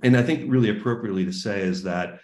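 A man's voice: lowish voice, fast talker, darkish tone. A man giving a keynote presentation over a webinar, speaking earnestly with many fillers and restarts. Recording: roomy echo.

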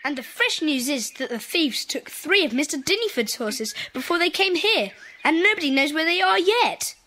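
A woman's voice: high voice, animated, moderate pace, bright, forceful tone. A woman playing an eager, matter-of-fact schoolboy in an audio drama. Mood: keen, informative.

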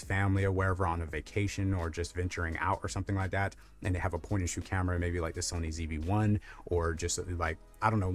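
Deep-voiced man reviewing gear casually. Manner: rambling, frequent fillers. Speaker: tech reviewer. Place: small room lit with colored lights. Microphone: lapel mic.

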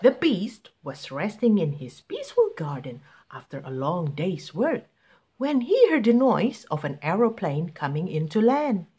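A woman narrates a picture book for children. She reads slowly and deliberately, with very expressive, lively pitch. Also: breathy edge. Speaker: children's story reader.

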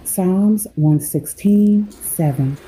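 Low, slow, mellow woman guiding sleep meditation. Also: background noise. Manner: unhurried, measured delivery with pauses between phrases. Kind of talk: guided sleep meditation with scripture reading.

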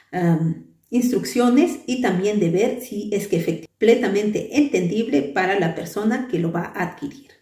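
Mellow-voiced woman explaining in an echoey room. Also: medium pitch, far from the mic. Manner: patient, explanatory, with frequent fillers and rhetorical "¿no?". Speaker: crochet channel host. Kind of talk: explanatory talk to camera. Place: small bright room indoors. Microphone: camera at a distance, head and shoulders framing. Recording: echoey room, voice a bit off-mic.

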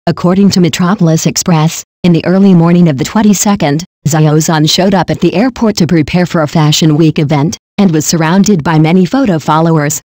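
A synthetic-sounding narrator reading a celebrity news script in an even, mechanical way. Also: medium pitch, a little fast, few pauses, no room sound.

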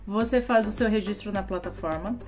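Young female vlogger, Brazilian Portuguese accent, lively explainer at a desk webcam, faint music bed. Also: medium pitch, brisk. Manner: lively, gesturing with both hands. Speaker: YouTuber. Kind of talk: explainer vlog to camera. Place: small home office, indoors.

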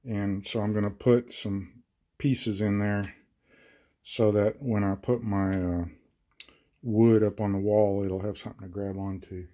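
A man's voice: on the low side, flat delivery, measured pace, mellow. A man in his sixties, a DIY vlogger, talks plainly and unhurriedly with many fillers while he walks through his work in an unfinished barn room. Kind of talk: casual work narration.

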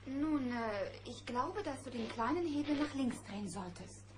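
Casting: earnest young princess, high voice, polite yet persistent, cartoon audio drama. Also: female voice, animated, a little slow. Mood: eager, helpful. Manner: polite, gently insistent. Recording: noisy old cassette recording.

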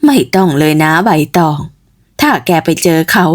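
A woman's voice: fairly low pitch, very animated, moderate pace, pitched below the performer's own voice. A woman voicing a middle-aged village mother in a storybook tale, motherly and chatty with a fretful streak. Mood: worried. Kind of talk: storybook character voice.

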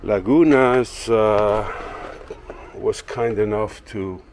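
A deep-voiced man ambles through his backyard, chatting loosely about his plants with plenty of "uh" and "like." He talks slowly into the camera he is holding. There is a faint hiss of outdoor ambience behind him. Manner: rambling, filler-heavy, relaxed. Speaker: woodworking channel owner. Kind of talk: casual walking tour vlog. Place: backyard garden outdoors, then indoor workshop. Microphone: handheld camera held by the speaker.

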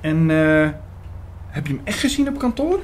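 An actor playing an earnest, gentle young priest who also narrates his story in an audio drama. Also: fairly high pitch, sing-song, moderate pace, slight background noise. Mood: light, puzzled.

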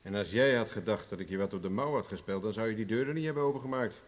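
A man's voice: fairly low pitch, racing, natural voice. An actor playing a self-assured, hard-bargaining man in a radio drama, commanding and mocking in manner. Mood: taunting, confident. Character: cocky, hard-bargaining male adventurer, threatening and mocking.

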